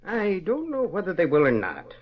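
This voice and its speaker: A stuffy, impatient frontier banker, formal and clipped, with a lively range of pitch. The sound is dull, like a 1940s radio broadcast. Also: male voice, moderate pace, full character voice. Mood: cautious. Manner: clipped, self-important, formal. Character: stuffy, cautious, impatient chubby small-town banker. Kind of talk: 1940s radio western acting. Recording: muffled vintage radio recording.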